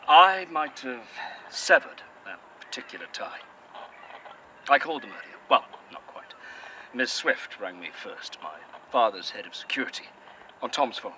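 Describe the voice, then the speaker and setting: A bitter, sardonic man, voiced by a male actor in an audio drama, speaking. His tone is sharp and very bright, with a lively pitch that rises and falls, and his replies come short and cutting. Faint outdoor noise sits behind him. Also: normal speed, rough recording. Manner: curt, sarcastic, heavy swearing.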